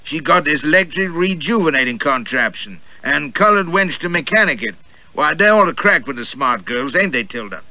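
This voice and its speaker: A bigoted old gentleman holds forth at full volume, his voice pushed up high and bright, as a man plays him. He drawls and laughs at his own jokes. It's a storybook reading. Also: animated, moderate pace, no echo, exaggerated voice. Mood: blustering. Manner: boastful, drawling, guffawing. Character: loud boorish portly older gentleman, bigoted.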